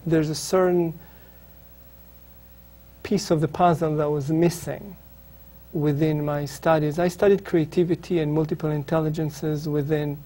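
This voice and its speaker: Hesitant Israeli man, dark and flat. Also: fairly high pitch, a little slow. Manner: hesitant, many ums and restarts. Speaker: author, interview guest. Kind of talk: reflective interview answers.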